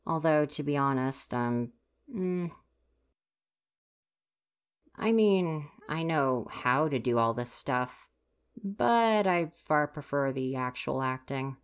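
Low-voiced, huffy tsundere student stagehand, acted by a woman. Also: lively intonation, slow pace, long pauses, clean tone. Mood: defensive, opening up. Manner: huffy, exasperated, sighing scolding. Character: grumpy flustered tsundere student stagehand, university age. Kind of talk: ASMR roleplay, acted directly to the listener.

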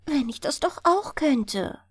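A woman's voice: sits high, animated, takes it slowly, dry recording, clean studio sound. Woman voicing a light, coaxing water nixie. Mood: coaxing, friendly. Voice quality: bright, light voice. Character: mysterious, friendly water nixie disguised as beautiful woman. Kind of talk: bedtime fairy-tale reading.

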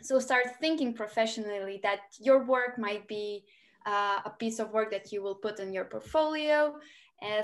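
A young woman with a Lithuanian accent and a fairly high voice. She speaks informally, as a student sharing her experience on a video call. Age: 20s. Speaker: student on a panel. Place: indoor room at home, video call.

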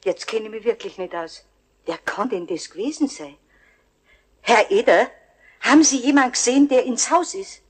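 High-voiced flustered caretaker. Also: female voice, normal speed, slightly rough. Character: flustered, nosy female building caretaker. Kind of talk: radio play acting.

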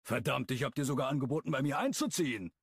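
A man's voice: mid-range voice, animated, fast talker, husky, no room sound, studio quality, pitched above the performer's own voice. A man voicing a big, tattooed, bearded friend who speaks earnestly and insistently in an audiobook.

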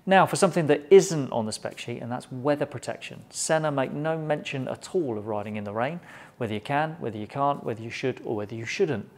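A middle-aged British man presents a product review to camera in a measured, matter-of-fact way, recorded on a lapel mic in a small indoor studio. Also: medium pitch.

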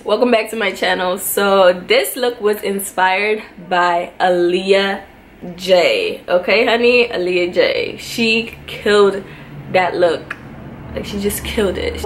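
A woman's voice: medium pitch, slight background noise. A young woman hosting a beauty vlog at home, chatting casually and gushing to her viewers, recorded on a camera in front of her. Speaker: beauty vlogger. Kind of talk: casual makeup vlog chat. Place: indoor home room.

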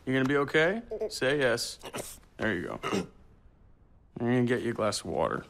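A man's voice: mid-range voice, very animated, moderate pace, a bit bright. A man playing a smug, menacing tech executive in a video game, talking in a condescending, faux-friendly drawl with casual swearing. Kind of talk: naturalistic game cutscene acting.

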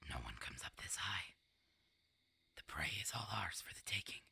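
A woman voicing a protective, steady werewolf mentor in terse, coaxing whispered phrases for an ASMR roleplay. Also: rumbling low, moderate pace, exaggerated voice. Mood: alert, hushed. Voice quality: breathy, husky.

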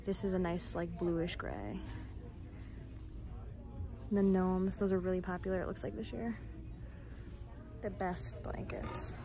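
A woman's voice: on the low side, background noise. A young American woman giving chatty, appreciative shop-with-me commentary in a casual vlog style. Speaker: lifestyle vlogger. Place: inside a retail store. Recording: music over handheld store recording. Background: music.

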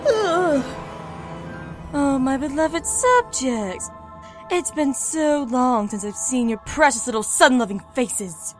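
A woman's voice: high-pitched, animated, slow, faint music behind. A woman voicing a regal, gloating evil mare in a cartoon fandub, drawling with mocking grandeur.

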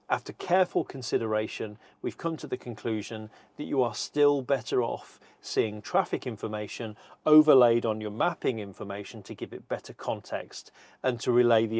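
A middle-aged man giving a scripted, measured walkthrough of a cockpit system, speaking precisely while seated in an aircraft cockpit. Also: mid-range voice, darkish tone, dry recording. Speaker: company presenter.

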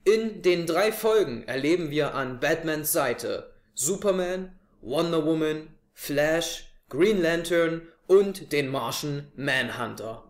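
A young man hosts a review show with a fairly high voice. He presents to camera in a living room, and the recording is clearly echoey. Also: lively intonation, takes it slowly, hardly any pauses. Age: 20s. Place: small living room, indoors on a couch.

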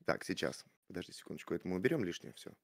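A man in his thirties talks in a quick, animated way, his pitch moving a lot. He keeps stumbling into fillers while playing the gallant suitor. He sits at a studio mic in a dim room lit in purple. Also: creaky, a little hiss or hum. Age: 30s. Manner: hesitant, full of fillers, mock-gallant. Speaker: show contestant flirting with a guest. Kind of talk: playful mock-romantic chat on a stream. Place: streaming room with purple lights. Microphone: studio mic on arm, headphones.

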